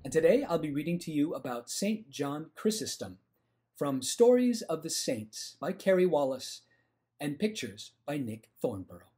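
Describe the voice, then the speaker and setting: A middle-aged man reading a children's story aloud in an expressive, emphatic storytelling manner. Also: a little high, normal speed. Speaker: storybook reader. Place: indoors, home room with bookshelf.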